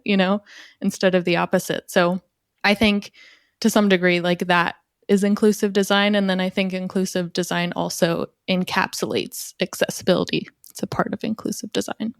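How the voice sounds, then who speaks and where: A woman's voice: mid-range voice, clear, resonant tone, no room sound, studio quality. A woman speaking casually as a podcast guest, saying "like" often. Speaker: product designer guest. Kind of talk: conversational podcast interview.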